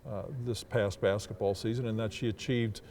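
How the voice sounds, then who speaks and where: Older man, low mellow voice, deliberate. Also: fairly even intonation, slight background noise. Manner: hesitant, deliberate, formal wording. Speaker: sports public address announcer. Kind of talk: reflective interview talk.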